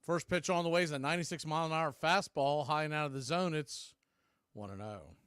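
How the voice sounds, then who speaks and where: A man's voice: fairly high pitch, fairly quick, fairly bright tone, clear, resonant tone, no room sound, clean studio sound. A man giving live baseball play-by-play commentary as the announcer.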